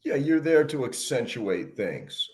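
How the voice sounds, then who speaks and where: A man's voice: mid-range voice, very animated, a little slow. A man hosting an interview, asking questions conversationally and quoting sayings. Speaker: host. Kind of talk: casual interview.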